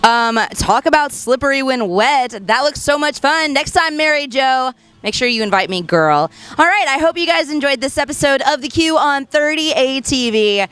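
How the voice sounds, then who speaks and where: A woman's voice: high-pitched, animated, normal speed, hardly any pauses, a bit bright, soft background music. A woman hosting a radio station's video show, chatty and playful as she wraps up the episode. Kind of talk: casual show wrap-up.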